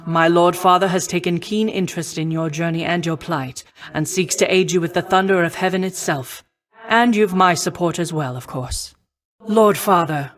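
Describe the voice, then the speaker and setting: A woman voicing a noble, composed goddess of wisdom and war in a video game, with formal, measured and stately diction. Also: on the low side, lightly acted. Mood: earnest, devoted, then respectful, diplomatic. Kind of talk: scripted video game voice acting.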